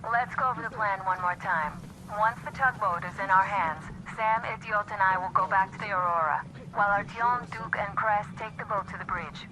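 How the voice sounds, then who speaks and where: An actress playing a brisk squad commander who gives tactical orders over the radio. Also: female voice, medium pitch, close to an everyday voice. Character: crisp female sniper coordinating a squad. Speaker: squad leader. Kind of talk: video game cutscene acting. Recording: game mix with battle noise.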